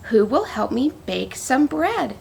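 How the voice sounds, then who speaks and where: Woman reading a high-voiced hardworking little red hen. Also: sing-song, unhurried, vocal fry, a little mellow, a little hiss or hum, full character voice. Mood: asking for help.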